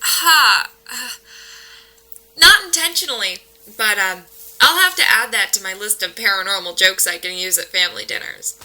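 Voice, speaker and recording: A woman's voice: mid-range voice, moderate pace, sharp tone, low-quality recording. A woman playing a skeptical, wry paranormal investigator in a naturalistic audio drama, with a dry, joking manner. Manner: dry, joking, matter-of-fact.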